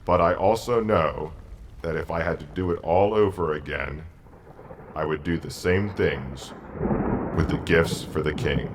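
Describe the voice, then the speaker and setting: A man's voice: very deep voice, measured pace, noisy background. A man playing a humble, devout, dying old wise man in a dramatic audio story, with solemn, reflective delivery. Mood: resolute, reflective. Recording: music behind the voice.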